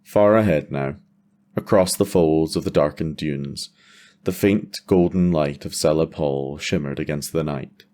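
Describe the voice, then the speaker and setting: A man's voice: a bass voice, a little slow, mellow. A man delivering scripted, cinematic narration for a fantasy audio drama.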